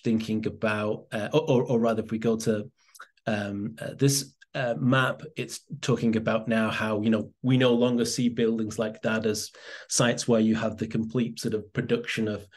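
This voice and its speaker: A man's voice: on the low side, darkish tone. A man giving an academic talk on a video call, hesitant, with many fillers and restarts. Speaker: presenter.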